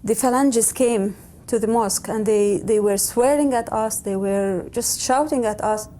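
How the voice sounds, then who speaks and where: A woman in her forties from Lebanon, narrating a documentary in a measured, plain-spoken way. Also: on the high side, mellow, a little hiss or hum.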